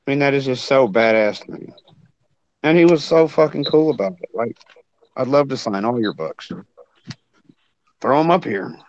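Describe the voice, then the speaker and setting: A man in casual chat about comic artists, excited and swearing freely. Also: medium pitch, moderate pace, long pauses. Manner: excited, profane. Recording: dull lo-fi sound.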